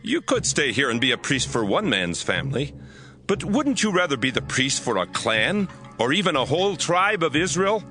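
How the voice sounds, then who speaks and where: A man voicing a pushy, bright-voiced warrior. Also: fairly high pitch, very animated, moderate pace, faint music behind. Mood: coaxing. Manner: urgent and persuasive. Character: bold Danite warrior, pushy.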